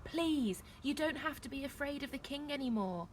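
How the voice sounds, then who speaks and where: A woman's voice: high-pitched, lively intonation, normal speed, slight background noise, pitched above the performer's own voice. A woman voicing an earnest storybook boy who reasons and pleads urgently. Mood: pleading.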